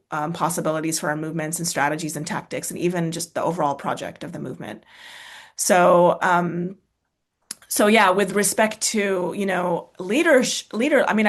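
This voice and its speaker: Soft-spoken woman answering with many fillers. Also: lowish voice. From United States. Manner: thoughtful, many fillers and restarts, tag questions. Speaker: author and speaker. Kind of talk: casual lecture and Q&A answers.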